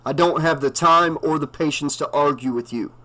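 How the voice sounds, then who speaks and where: A man's voice: fairly high pitch, moderate pace, bright, forceful tone. A man voicing a weary small-town sheriff who speaks firmly. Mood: stern. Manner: firm, commanding.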